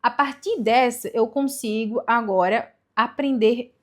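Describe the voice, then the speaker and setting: Chatty woman, fairly high voice. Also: measured pace. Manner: chatty, self-correcting, rhetorical questions. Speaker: vlogger giving language-learning tips. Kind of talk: casual talking-to-camera advice.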